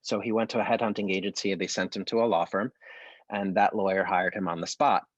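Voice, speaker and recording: A man in conversation about his family and work, talking haltingly with many fillers and restarts over a video call. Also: sits low, fairly even intonation, no room sound, lo-fi sound. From United States. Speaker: artistic director. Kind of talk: conversational interview.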